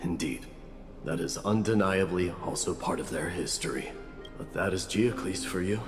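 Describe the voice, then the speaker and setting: An actor playing a stern, proud warrior prince in a video game, speaking in a measured, grave and declarative way. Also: male voice, deep voice, close to an everyday voice. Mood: solemn storytelling. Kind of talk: dramatic video game dialogue. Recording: long reverb, music behind. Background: game soundtrack music.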